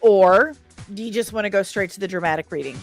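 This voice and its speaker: A woman hosting a casual livestream, chatting and reading out comments in a playful, expressive, sing-song way. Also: mid-range voice, fast. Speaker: live stream host reviewing comics and screen news. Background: faint background music.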